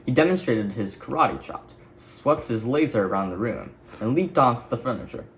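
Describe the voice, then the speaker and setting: Male reader for young children's storybook, expressive intonation, mid-pitched. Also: moderate pace, a little hiss or hum, low-quality recording. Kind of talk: scripted children's story narration.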